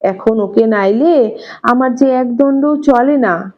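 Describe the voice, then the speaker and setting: Self-pitying invalid mother, done by a woman narrator: plaintive, martyred, highish voice, slow delivery, audio-story drama. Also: muffled recording. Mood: lamenting, self-pitying.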